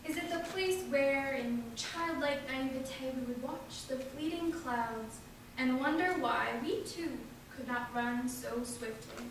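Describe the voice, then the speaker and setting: Woman reading as slow, high-voiced earnest orator. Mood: earnest, wistful. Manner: measured, rhetorical questioning. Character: earnest anti-war anarchist woman orator. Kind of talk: political speech read aloud. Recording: echoey hall, distant mic, clear noise.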